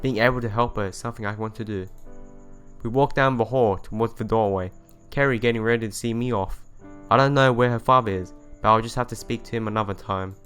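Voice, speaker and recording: A man's voice: lowish voice, fairly even intonation, fairly quick, fairly bright tone, clean tone. Man reading a visual novel aloud over music. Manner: stumbles and rereads phrases. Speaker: let's play host. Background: game music.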